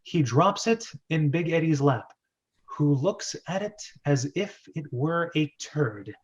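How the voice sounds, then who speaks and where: Guy narrating screenplay stage directions, voice a bit high, kinda dull audio. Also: expressive, slow pace. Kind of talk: dramatic script reading, narration.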